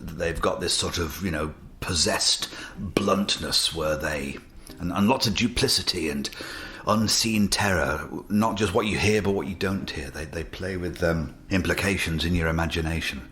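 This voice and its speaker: A man's voice: rumbling low, a little slow, bright, forceful tone. A man giving reflective, enthusiastic commentary on the episode.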